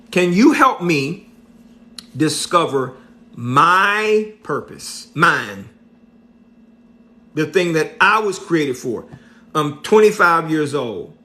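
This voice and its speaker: A middle-aged man speaks on a livestream with a slightly high voice. He talks very slowly and deliberately, as a motivational speaker. He drives his points home by repeating them and asking "right?" again and again. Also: sharp tone. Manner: preachy, repeats points, asks "right?" often; slow and deliberate.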